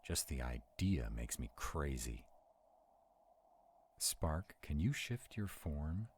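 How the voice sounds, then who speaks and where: A man playing a sarcastic, protective vampire in a roleplay audio drama, wary and quick-witted, talking to unseen companions. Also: very deep voice, big pitch swings, a little hiss or hum, exaggerated voice. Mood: wary, uneasy.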